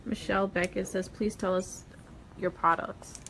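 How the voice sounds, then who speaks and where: A woman's voice: medium pitch, flat delivery, moderate pace, crowd noise, rough recording. A woman in a hair salon reading viewer comments aloud in a plain, matter-of-fact way.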